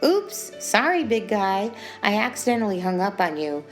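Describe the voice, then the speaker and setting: Woman acting a bubbly, flustered young woman, high-pitched. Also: normal speed, faint music behind, close to an everyday voice. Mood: playful, giggly. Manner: playful, excitable, speaking as if on phone.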